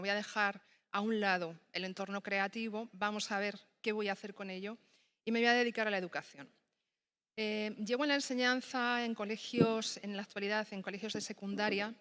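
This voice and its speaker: A woman whose first language is Spanish, a design teacher speaking on a summit panel in a thoughtful, halting way with many fillers. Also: mid-range voice, fairly even intonation, fairly bright tone.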